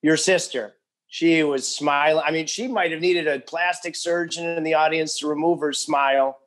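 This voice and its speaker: A man in his forties hosts a casual sports interview. He tosses in jokes and asks long, roundabout, friendly questions. His voice sits a little high. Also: steady tone, moderate pace, fairly bright tone. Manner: jokey, friendly, rambling questions. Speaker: podcast host.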